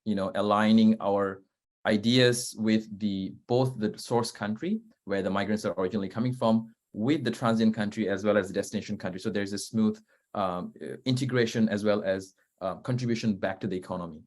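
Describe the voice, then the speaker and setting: A man's voice: fairly low pitch, a little fast, darkish tone. A young man, a startup founder, answering on a webinar panel in an earnest way with many hesitations. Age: young adult. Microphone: video call.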